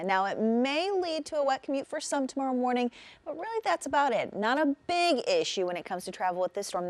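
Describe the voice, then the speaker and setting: A woman's voice: medium pitch, darkish tone, no room sound. A woman meteorologist giving a TV weather forecast in a chatty way, talking straight to viewers. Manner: chatty, addresses viewers directly.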